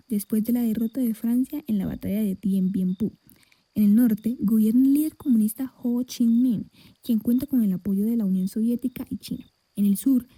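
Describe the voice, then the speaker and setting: A woman playing a grave news reporter, with husky, breathy, formal scripted narration. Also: a little high, dark tone, no echo, low-quality recording.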